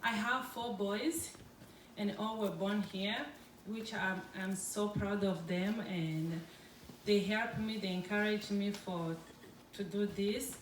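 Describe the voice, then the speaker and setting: A middle-aged woman from Congo whose first language is French, speaking with a French-influenced accent and giving a hesitant public talk full of pauses. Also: mid-range voice, fairly even intonation, slight background noise. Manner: hesitant, halting, many pauses and fillers. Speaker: food entrepreneur speaker. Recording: roomy, distant from the mic, faint background ambience.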